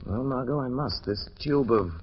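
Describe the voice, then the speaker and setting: An American man playing a resolute gentleman crime-fighter in an old radio drama, speaking with urgent authority. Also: mid-range voice, normal speed, natural voice. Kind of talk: 1940s radio drama acting. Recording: muffled, hissy old radio recording.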